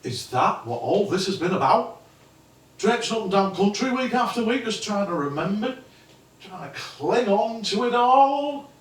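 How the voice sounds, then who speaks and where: A sardonic English husband, echoey stage. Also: male voice, high-pitched, big pitch swings, normal speed. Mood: accusing. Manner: sardonic, accusing. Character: bitter, sarcastic husband from the past, working-class. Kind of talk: theatrical stage dialogue. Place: theatre stage, echoing. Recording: echoey theatre stage, distant microphone.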